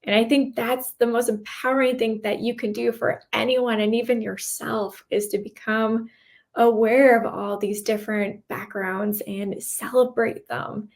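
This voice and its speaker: Female interview guest, fairly high voice. Earnest, explanatory, casual conversational delivery. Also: steady tone, a little slow, gravelly, darkish tone. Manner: earnest, explanatory, checks in with "right?". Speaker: podcast guest, cybersecurity professional.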